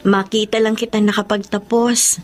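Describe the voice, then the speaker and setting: A woman playing a caring, self-sacrificing young elder sister in a radio drama, gentle and emotional, with a Filipino accent. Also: medium pitch, level tone, moderate pace, close to an everyday voice. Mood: caring, reassuring. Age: young adult.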